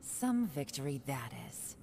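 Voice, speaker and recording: A woman's voice: rumbling low, very animated, unhurried, background noise, heavily acted. A woman voicing a cool, battle-seasoned female operative in a video game, sardonic and controlled. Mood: cutting. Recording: game music behind the voice.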